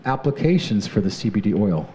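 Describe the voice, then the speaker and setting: A man hosting a talk show, interviewing a guest in an earnest, halting way with many restarts. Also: fairly low pitch, very animated, warm tone. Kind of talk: conversational interview.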